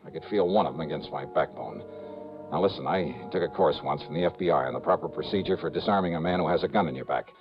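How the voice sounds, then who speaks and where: An American actor playing a wry, hard-boiled investigator, narrating in a clipped, dramatic 1950s noir radio style. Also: male voice, a bass voice, quick pace. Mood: tense, wry. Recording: muffled old radio recording, music underneath.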